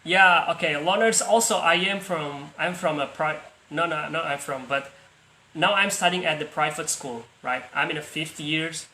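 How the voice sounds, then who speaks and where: A man's voice: on the high side, normal speed, pushed and bright, reverberant. A young man with an Indonesian accent, hosting a casual practice video call and talking in a hesitant way with frequent restarts. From Palu, Central Sulawesi, Indonesia. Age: young adult. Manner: hesitant, restarts often, laughs easily.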